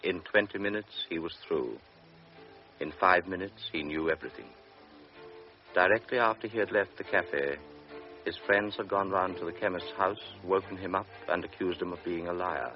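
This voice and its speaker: Male narrator, very deep voice, grave and measured; dull vintage radio sound with faint music. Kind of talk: scripted radio drama narration.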